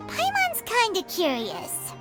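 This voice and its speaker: A woman voicing a tiny, excitable, nosy childlike fairy companion in a video game, with a squeaky voice. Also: takes it slowly, full character voice. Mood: curious, musing. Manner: excitable, nosy, speaks of self in third person. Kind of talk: video game character acting. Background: background music.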